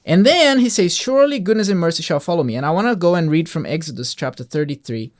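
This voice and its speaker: A man's voice: a little high, animated, quick pace. A man hosting a Bible reading, patiently explaining scripture to a child with coaxing questions. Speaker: host of a Bible reading show.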